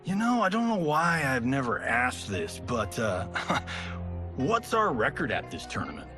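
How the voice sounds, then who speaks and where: An actor playing a vain, wisecracking action movie star in a video game, flippant and sarcastic. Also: male voice, medium pitch, sing-song, measured pace, over music. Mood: impatient, sarcastic. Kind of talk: video game cutscene acting.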